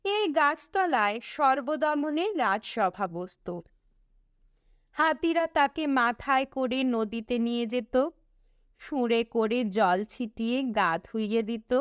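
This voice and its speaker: A woman narrating a storybook as an audiobook reader, in a measured, expressive storytelling manner with deliberate breaks between phrases. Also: fairly high pitch, clean tone, dry recording, clean studio sound.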